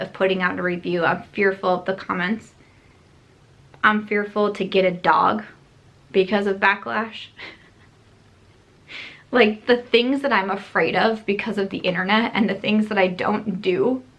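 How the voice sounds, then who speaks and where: A young woman chatting straight to camera while she does her makeup. She talks in a candid, earnest way and sounds emotional in her openness, at a middle pitch for a woman. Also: a bit bright. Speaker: beauty vlogger. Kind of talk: casual chatty get-ready-with-me monologue.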